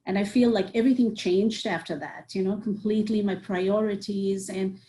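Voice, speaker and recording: A mellow, warm-toned woman. She answers interview questions earnestly, stacking points one after another and leaning on "you know" as she goes. Her pitch sits at an ordinary middle height. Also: steady tone, moderate pace. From Nepal. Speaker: psychiatrist guest.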